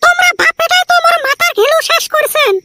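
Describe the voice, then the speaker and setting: A woman's voice: quick pace, a little hiss or hum. A woman voicing a quarrelsome, scatterbrained cartoon village wife with a Rajbongshi Bengali accent and an artificially raised voice. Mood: indignant. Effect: artificially raised cartoon pitch. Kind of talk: comic cartoon dialogue. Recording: loud background music.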